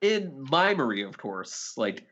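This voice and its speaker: Lively-pitched man on a slightly dull mic. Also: takes it slowly. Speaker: podcast co-host. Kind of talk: casual chat.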